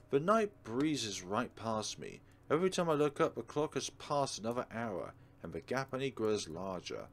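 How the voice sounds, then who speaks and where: A man's voice: on the low side, a little hiss or hum. A man hosting a let's play, reading a visual novel aloud expressively and adding casual commentary. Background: soft game music.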